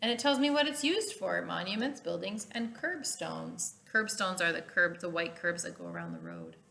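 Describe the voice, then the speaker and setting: A woman reading a children's storybook aloud as a teacher, with clear emphasis, explanatory asides and questions to listeners. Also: lowish voice, big pitch swings, moderate pace, sharp tone, a little hiss or hum.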